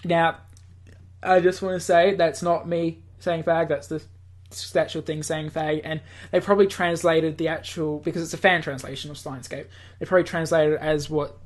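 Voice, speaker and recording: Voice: medium pitch, measured pace. A person reading a visual novel aloud in a let's play, stumbling over lines now and then and adding off-the-cuff joking asides.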